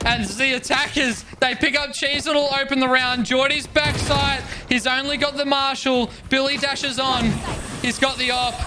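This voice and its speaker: A man casting an esports match play by play, shouting at big plays and losing his voice. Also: very high-pitched, little pitch movement, normal speed, music in the background. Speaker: esports caster. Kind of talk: excited play-by-play commentary.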